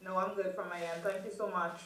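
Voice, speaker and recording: A woman's voice: lowish voice, little pitch movement, fast talker, a bit bright, a little hiss or hum. Woman reporter, long winding question, roomy remote audio from far away. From Bahamas. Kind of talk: press conference question.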